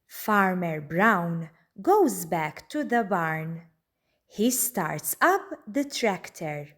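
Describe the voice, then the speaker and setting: A woman reading a children's storybook aloud in a deliberate, expressive way. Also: on the low side. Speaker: children's book reader. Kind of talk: read-aloud storybook narration.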